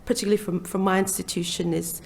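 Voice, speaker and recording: A woman in her forties speaking as a panelist, earnest and measured, with frequent hesitations. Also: medium pitch, level tone, vocal fry. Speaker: library learning officer on a panel. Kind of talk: live panel discussion talk.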